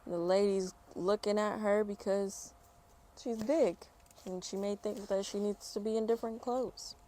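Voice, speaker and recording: Unhurried woman reading a storybook aloud. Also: mid-range voice. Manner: expressive, unhurried, informal commentary. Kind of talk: children's read-aloud with chatty asides.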